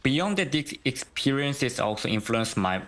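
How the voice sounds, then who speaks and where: A young man, a guest translator giving measured interview answers that often begin with "so". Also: mid-range voice, very animated, fairly bright tone. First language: Korean. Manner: measured, starts many sentences with "so".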